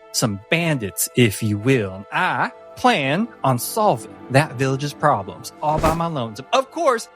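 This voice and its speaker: A man's voice: mid-range voice, fairly bright tone, natural voice. A man voicing a tiny, polite, boyishly earnest adventurer in a tabletop game, with a courtly and self-important manner. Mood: eager, confident.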